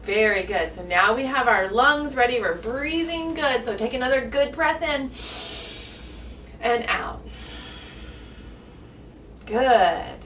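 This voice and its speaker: A woman with a high voice teaches yoga to young children in an encouraging singsong. She takes it very slowly, spelling out each step in simple words. She sounds some way from the mic in an echoey room. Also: animated, a bit bright, slight background noise. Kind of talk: instructing young children through poses, step by step. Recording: echoey room, distant mic, music behind.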